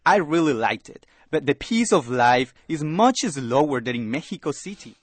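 Friendly young college student: husky, lively delivery, dry close sound. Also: male voice, a little high, normal speed, no echo. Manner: friendly, chatty. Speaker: dialogue actor. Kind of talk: scripted radio lesson dialogue.

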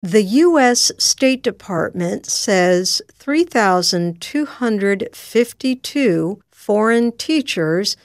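A woman reading a news script slowly and clearly, as a presenter. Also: medium pitch, animated, dry recording. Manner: slow, careful, clear delivery. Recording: dry studio sound.

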